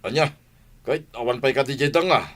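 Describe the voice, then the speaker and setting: A man's voice: mid-range voice, fairly quick, a bit bright, lightly acted. A man playing a lazy, hungry village husband who whines and grumbles in an Ilocano comedy radio drama. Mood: grumbling. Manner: whining, complaining.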